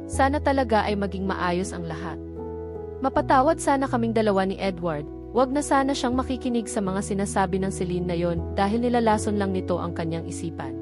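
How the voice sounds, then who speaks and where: A woman's voice: mid-range voice, lively intonation, a little fast, close to an everyday voice. A woman with a Filipino accent voicing an earnest, hurt young woman confiding at length in an audiobook story. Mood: hopeful, earnest. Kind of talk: audiobook dialogue. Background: background music.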